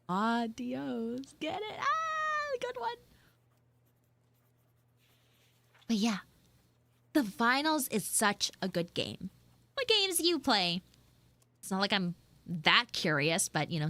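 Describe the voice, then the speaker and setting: A woman voicing a bratty, dramatic tsundere anime girl in a live improvised audio roleplay, theatrical and whiny, with drawn-out words. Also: mid-range voice, bright, forceful tone, exaggerated voice. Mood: playful, chatty. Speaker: streamer, voice actor.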